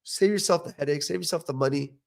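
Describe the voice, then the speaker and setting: Emphatic, opinionated man, slightly high voice. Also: quick pace, vocal fry. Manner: opinionated, emphatic, frequent "okay?" check-ins. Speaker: podcast host, dental professional. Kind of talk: casual educational podcast talk.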